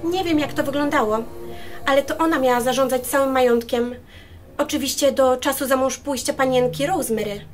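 A gossipy, eager-to-please maid, acted by a woman in a radio drama, with a high voice. She is effusive and deferential, and music plays clearly behind her. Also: a little fast, close to an everyday voice. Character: chatty, gossipy unmarried hotel-style maid, eager to please. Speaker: amateur radio drama actor. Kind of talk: radio play acting.

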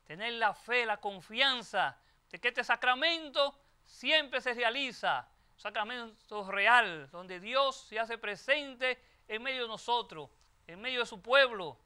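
An older man, a priest, reading the Gospel and giving a homily at Mass into a lectern microphone, preaching in a measured, emphatic way with repeated phrases. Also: extremely high pitch, lively intonation, pushed and bright, clean tone. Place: chapel sanctuary at a lectern.

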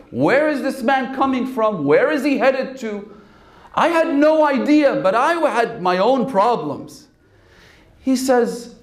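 A man in his thirties delivers a sermon as a story, his voice sitting fairly high. He sinks lower and slower when he quotes others. The hall gives his voice a clear echo. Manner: animated storytelling, quoting in a lowered voice. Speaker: preacher. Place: hall with red banner. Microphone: stand mic in front. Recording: echoey hall.

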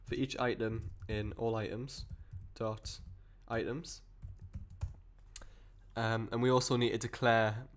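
A man with a cold and a croaky voice, explaining code step by step in a rambling way with many fillers and restarts. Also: mid-range voice, level tone, slow. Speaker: programming tutor. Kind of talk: screen-recorded coding tutorial.

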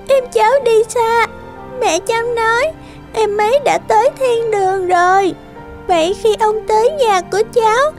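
Plaintive high-voiced little girl. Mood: sad, earnest. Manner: plaintive, childlike, slow and earnest. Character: sad little girl reading her letter to Santa. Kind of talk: cartoon voice acting. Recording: music behind.

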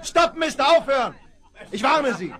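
A plucky young rancher's son talks boldly and cheerfully in a high, lively voice. Clear hiss and crackle from an old vinyl record run under him. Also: male voice, normal speed. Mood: urgent. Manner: cheerful, bold. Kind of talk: 1970s radio play acting.

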